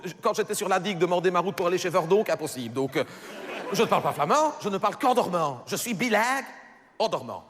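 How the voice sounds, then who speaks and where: A man's voice: a little high, fairly bright tone, big-room sound. A man voicing a chatty middle-aged housewife with a Belgian French accent, rambling and stammering through self-corrections in a stand-up monologue. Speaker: comedian.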